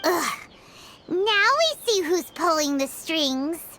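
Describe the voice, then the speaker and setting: A woman voicing a tiny, chirpy, blunt fairy-like companion in a game cutscene, with a squeaky childlike voice and wry remarks. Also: expressive, slow pace, sharp tone, a little hiss or hum, heavily acted.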